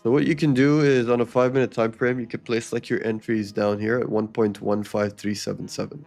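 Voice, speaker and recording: A man's voice: mid-range voice, level tone, fairly quick, gravelly, a little mellow, soft background music. A man hosting a live trading stream, explaining charts and answering chat in a casual coaching way, often checking in with "okay?". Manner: reads viewer comments aloud and answers them. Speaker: livestream trading host.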